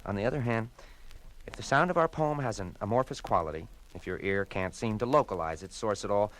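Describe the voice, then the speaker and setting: A man's voice: on the low side, a little fast, a bit bright, a little hiss or hum, natural voice, poor audio. A man voicing a pompous male stereo speaker in a comedy record sketch, huffy and theatrical. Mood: mock-formal.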